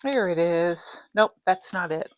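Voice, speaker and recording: A woman talks through her stitching projects in a casual, rambling way, often breaking off mid-thought. Her voice sits a little low and has a slight rasp from a dry throat. She speaks at home in her craft room. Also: unhurried. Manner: chatty, rambling, self-interrupting. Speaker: flosstube host. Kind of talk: casual show-and-tell chat.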